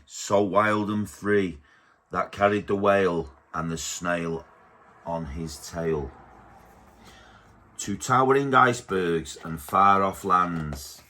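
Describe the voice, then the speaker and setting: A man reading a rhyming picture book aloud to his baby at home, slowly and gently. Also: low-pitched. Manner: slow, gentle storybook reading.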